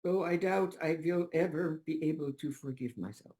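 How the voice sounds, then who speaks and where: A woman playing a remorseful parent confessing guilt, solemn and deliberate, at a dramatic table read. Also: low-pitched, dark tone, lightly acted. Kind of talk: live table read acting.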